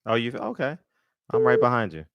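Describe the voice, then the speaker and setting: A man's voice: mid-range voice, big pitch swings, a little fast, clean tone, no echo, dull audio. A man in his forties hosting a call-in show, chatting casually with callers in a laid-back, joking, slangy way. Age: 40s.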